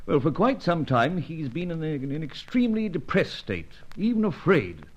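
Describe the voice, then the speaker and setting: Grave spy chief, animated delivery. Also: male voice, fairly high pitch, highs cut off. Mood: grave, briefing. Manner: formal, measured, old-fashioned phrasing. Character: grave, gentlemanly British male spy chief. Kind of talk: vintage radio spy serial acting.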